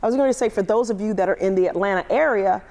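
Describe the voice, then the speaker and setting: A woman's voice: medium pitch, racing, crowd noise. A woman hosting a talk show, upbeat and affirming with her guest. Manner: upbeat, affirming, frequent agreeing interjections. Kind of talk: casual interview chat.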